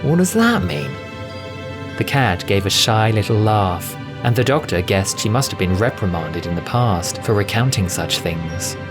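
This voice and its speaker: Low-voiced man narrating over music. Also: moderate pace, few pauses. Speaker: narrator, voice actor. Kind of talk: scripted story narration. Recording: music bed under voice.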